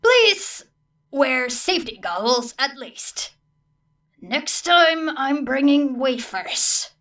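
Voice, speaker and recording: A woman's voice: sits high, big pitch swings, slow, fairly bright tone, recorded from a distance, voice pushed up, full character voice. A woman voicing a weary, cautious male teacher in an audiobook story, exasperated and long-suffering. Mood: resigned.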